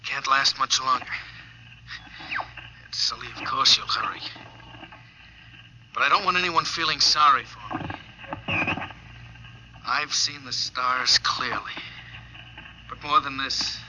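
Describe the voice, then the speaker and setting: An actor playing a stranded, dying astronaut in a 1950s radio drama, weary and wistful, short of breath, with a halting, poetic delivery. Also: male voice, medium pitch, lightly acted. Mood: weary, gasping. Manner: slow, breathy, halting, solemn monologue. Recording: noisy old 1950s radio recording.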